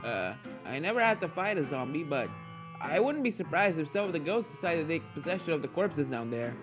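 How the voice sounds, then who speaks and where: A narrator voicing a calm, helpful male pony professor who gives chatty, encouraging instructions in a fan fiction story. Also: medium pitch, brisk, over music, lightly acted. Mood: explaining.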